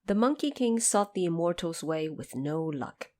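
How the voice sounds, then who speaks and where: Female narrator for an audio drama: fairly low register, very animated, dramatic storybook delivery. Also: moderate pace.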